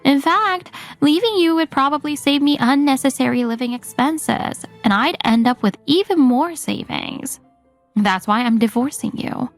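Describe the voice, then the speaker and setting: A calm, self-assured wife, voiced by a woman in a manga dub. She answers her husband coolly and lets her quiet composure do the cutting. The voice is fairly high, with faint music underneath. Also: moderate pace, no echo. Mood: composed.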